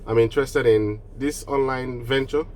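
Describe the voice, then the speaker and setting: A man's voice: mid-range voice, steady tone, moderate pace, darkish tone, a little hiss or hum. A man from Nigeria with a cold, giving earnest, casual advice on online business straight to the camera and often restarting his phrases. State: has a cold.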